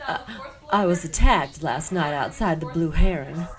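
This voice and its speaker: A woman's voice: low-pitched, unhurried, crowd noise. A woman playing an anxious, shaken young witness in an audio drama, speaking emotionally.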